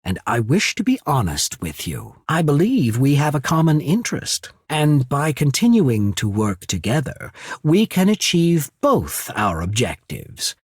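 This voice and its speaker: A husky, shrewd naval diplomat. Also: male voice, on the high side, wildly swooping pitch, no echo, studio quality, heavily acted. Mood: courteous, persuasive. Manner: measured, formal, diplomatic, persuasive. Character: shrewd, courteous male naval emissary of an island empire. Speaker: video game character. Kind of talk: scripted fantasy game dialogue.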